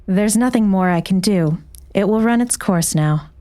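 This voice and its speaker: A woman playing a cool, composed doctor in an audiobook drama, speaking smoothly in a formal, measured, controlled way. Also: mid-range voice, mellow, lightly acted. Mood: cool, resigned. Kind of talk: audiobook character acting.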